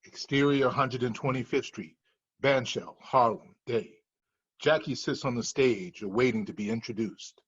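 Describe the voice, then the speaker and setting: A man reading scripted screenplay directions as narration, in a husky voice. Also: medium pitch, unhurried. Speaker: stage directions reader. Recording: dull lo-fi room recording, faint music.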